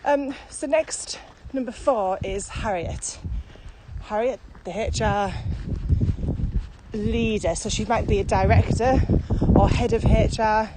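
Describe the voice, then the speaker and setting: A woman's voice: medium pitch, slow. A woman co-hosting a podcast, explaining things in casual conversation while out on a walk. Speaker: podcast co-host and virtual training consultant. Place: outdoors on a walk. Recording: very noisy outdoor recording, traffic and ambience.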